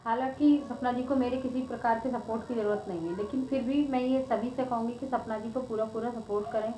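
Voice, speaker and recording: Woman, slightly high voice, informal vlog commentary, earnest and emphatic, roomy echo. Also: steady tone, fairly quick. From India. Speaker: video blogger. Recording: echoey room with faint background noise.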